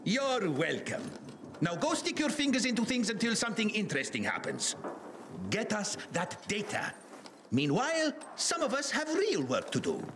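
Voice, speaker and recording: Fatin, very high, excitable eccentric scientist. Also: male voice, moderate pace, noisy background, exaggerated voice. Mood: brisk, smug. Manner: theatrical, patronizing. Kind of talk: video game dialogue acting.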